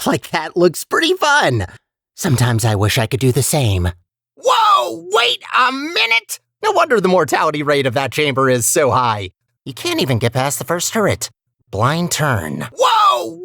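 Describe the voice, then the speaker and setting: Animated, chatty little robot sphere. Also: medium pitch, no echo, studio quality. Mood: amused, then alarmed. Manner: animated, expressive, conversational, pleading and wry. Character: chatty, nervous, eager talking robot personality sphere. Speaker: game character, maintenance robot. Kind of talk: video game character voice lines.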